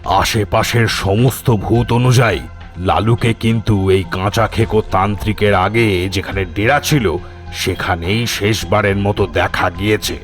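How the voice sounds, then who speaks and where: A man as a calm cartoon ghost squad member. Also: on the low side, unhurried, faint music behind, natural voice. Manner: calm, matter-of-fact reasoning. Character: level-headed male ghost squad member.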